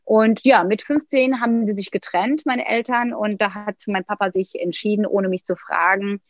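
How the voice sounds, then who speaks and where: A woman, telling stories as an interview guest, lively, with many fillers and restarts. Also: mid-range voice, normal speed, no room sound. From Turkey. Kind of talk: casual interview talk. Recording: dull, dry sound.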